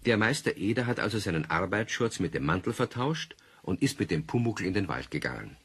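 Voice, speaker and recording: A man narrating a children's radio play as a husky-voiced storyteller. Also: lowish voice, sing-song, fairly quick, full character voice.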